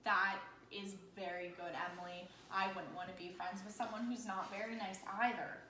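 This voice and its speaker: A woman reading a children's story aloud as a teacher, in an expressive, encouraging manner with questions for the listener. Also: mid-range voice, normal speed. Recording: echoey room with faint noise.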